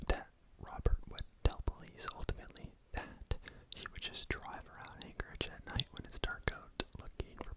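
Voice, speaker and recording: A man telling a true crime story in a soft unvoiced ASMR whisper, casual, with restarts and fillers.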